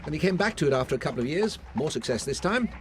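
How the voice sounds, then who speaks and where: A man's voice: on the high side, lively intonation, rapid-fire, a little hiss or hum, close to an everyday voice. A man playing an earnest, affable Victorian doctor in a radio drama, speaking in an eager, polite way.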